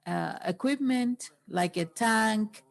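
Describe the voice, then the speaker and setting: A woman interpreting a workshop into English, pausing often between phrases. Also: on the high side, gravelly.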